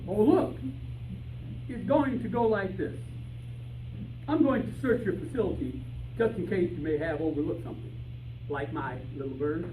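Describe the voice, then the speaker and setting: A man's voice: sits high, moderate pace, pauses often, warm tone, close to an everyday voice. A man playing a boastful, muddled private detective in an old-time radio style comedy. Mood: blustering. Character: bungling, cocky private detective man seeking his canary. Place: live rehearsal in a room with audience. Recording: distant echoey room recording, noisy.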